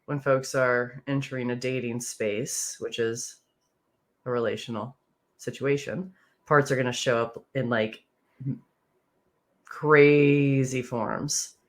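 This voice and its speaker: A woman therapist co-hosts a podcast in a casual, joking tone. Her voice sits deeper than usual, and her delivery is quick-witted and wry. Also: steady tone.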